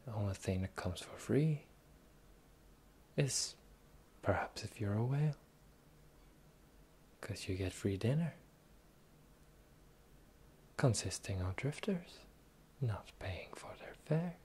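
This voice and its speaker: A performer voicing a sly, teasing pirate captain in a slow, breathy, whispered fantasy ASMR roleplay. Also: medium pitch, animated, warm tone, a little hiss or hum. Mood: sly, bargaining. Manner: teasing, slow, drawling, theatrical.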